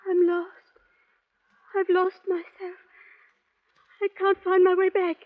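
A woman's voice: very high-pitched, completely flat delivery, slow pace. An actress playing a distraught, ghostly lost woman, plaintive and distracted, desperate to get home, in a 1950s radio drama. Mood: lost, distressed. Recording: dull vintage radio recording.

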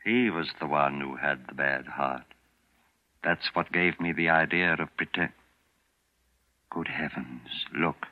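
A man's voice: rumbling low, slow, long pauses. An actor playing a tense, shaken man in a 1940s radio drama, speaking urgently. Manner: urgent, earnest. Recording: muffled 1940s radio broadcast.

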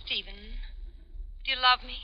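An actress playing a wife who calls her husband and softly pleads with him, in 1940s radio style. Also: high-pitched, steady tone, moderate pace, lightly acted. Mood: pleading. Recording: dull old radio recording.

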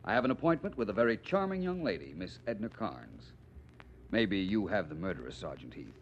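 An actor playing a suave, drily witty gentleman detective, polished and courteous, in 1940s radio style. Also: low-pitched, big pitch swings, fairly quick. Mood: dryly amused. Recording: muffled, hissy 1940s radio recording.

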